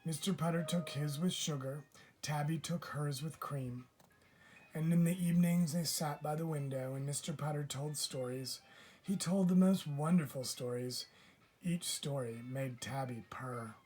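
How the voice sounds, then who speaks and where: A man reading a children's picture book aloud as a teacher would, with measured, deliberate phrasing. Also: a little high, a little mellow, slightly rough, poor audio. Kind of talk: children's storybook read-aloud.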